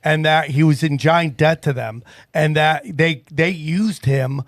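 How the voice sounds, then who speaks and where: A man hosts a podcast in casual conversation and pushes his guest with fired-up questions. His voice sits a little high. The recording is dry and close. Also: unhurried, no echo. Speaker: podcast host.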